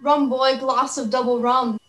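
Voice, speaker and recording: A shifty seafaring pirate man acted by a woman in a radio play. The voice sits fairly high and talks in gruff sailor dialect, giving orders. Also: steady tone, unhurried, a bit bright. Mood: shifty, commanding. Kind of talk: amateur radio play acting.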